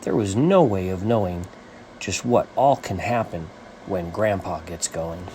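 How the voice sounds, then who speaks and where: A man reading a rhyming children's story as its author, with an animated, sing-song rhythmic delivery. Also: low-pitched, a little slow, background noise.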